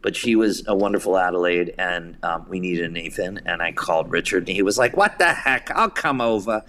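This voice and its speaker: A man speaking as an interview guest and theatre director, telling casual anecdotes with searching pauses and quoted asides. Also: low-pitched, expressive, pushed and bright. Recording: clear background noise.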